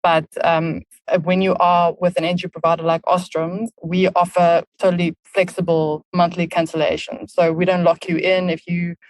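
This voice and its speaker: A woman's voice: lowish voice, level tone, moderate pace, few pauses, dry recording, poor audio. A woman in her thirties with a South African accent, a podcast guest who explains things at length with lots of "um" fillers. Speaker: podcast guest, marketing lead. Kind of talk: casual interview talk.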